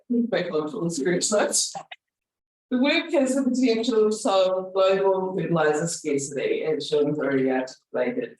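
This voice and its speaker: A man's voice: on the high side, a little mellow. New Zealand man speaking in an echoey hall. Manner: earnest, formal, with light self-deprecating humour. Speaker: university leader speaking at a launch event. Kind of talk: formal welcome speech with jokey asides. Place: large event room with an audience. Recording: very echoey room, voice from far off.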